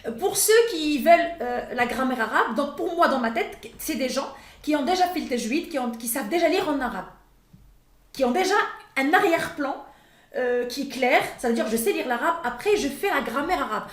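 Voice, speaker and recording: A woman's voice: high voice, animated, fairly quick. A woman who teaches the Quran, with Arabic as her first language, talking in an interview, hesitant with many fillers and restarts. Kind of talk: casual interview talk. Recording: echoey room.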